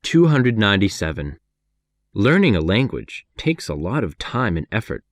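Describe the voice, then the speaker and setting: A man with an American accent reading numbered practice sentences for an English lesson, clear and measured. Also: fairly low pitch, very animated, no echo.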